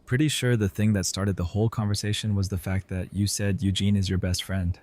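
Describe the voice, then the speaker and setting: A man's voice: lowish voice, level tone, brisk, darkish tone, lightly acted. A man playing a wry, earnest young doctor in an audio drama, with a casual, teasing delivery. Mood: dry teasing. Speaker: voice actor in a fan audio drama. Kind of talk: conversational audio drama acting.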